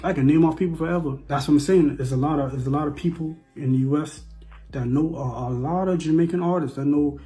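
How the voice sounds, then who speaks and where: A man's voice: a little high, quick pace, mellow, soft background music. A young man with an American accent, from the Bahamas, giving his opinion in a casual monologue and repeating phrases for emphasis. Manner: repeats phrases for emphasis, loose slangy talk. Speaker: vlogger.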